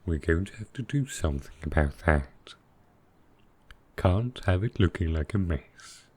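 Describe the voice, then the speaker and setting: A man voices a dragon boyfriend in an intimate audio roleplay. He is very low and unhurried, thinking aloud with doting, innocent earnestness. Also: expressive, slightly rough, exaggerated voice. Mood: curious, delighted. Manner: slow, musing, softly doting, naive. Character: gentle, earnest male dragon boyfriend, new to technology.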